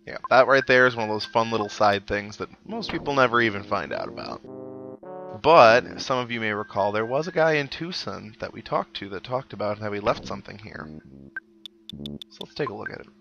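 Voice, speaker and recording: A man with a fairly low voice hosts a casual let's-play over prominent synth game music. His delivery is wry and sarcastic as he plays and reads game text aloud, and the recording is slightly dull and lo-fi. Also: animated, a little fast, gravelly, a bit bright. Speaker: gaming show host, player. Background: synthesized video game music.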